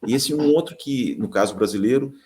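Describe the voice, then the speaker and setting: A man acting as mediator, introducing an academic panel in a measured way with frequent tag questions. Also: medium pitch, darkish tone.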